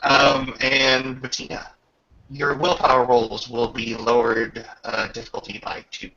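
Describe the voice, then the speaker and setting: A man narrating a tabletop game as game master in a halting way, often pausing mid-sentence, on a dull home microphone. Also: mid-range voice, completely flat delivery, vocal fry, sharp tone.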